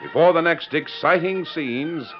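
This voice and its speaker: A man's voice: a little high, measured pace. A man announcing and narrating a 1940s radio western in a formal, theatrical style. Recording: muffled vintage 1940s radio recording. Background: music.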